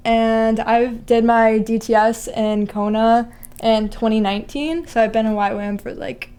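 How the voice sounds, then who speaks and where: A woman's voice: a little high, fairly even intonation, normal speed, mellow, clean tone. A young woman from Virginia in her twenties, casually introducing a group chat, loose and friendly with many fillers. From Virginia, USA. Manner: friendly, loose, full of likes and ums. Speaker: ministry intern leading the chat.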